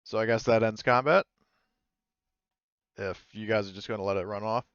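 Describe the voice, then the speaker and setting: A man's voice: lowish voice, steady tone, normal speed, a bit bright, smooth, dry recording, dull audio. A man acting as game master, narrating casually and tentatively as he thinks aloud. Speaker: game master of a tabletop roleplaying game.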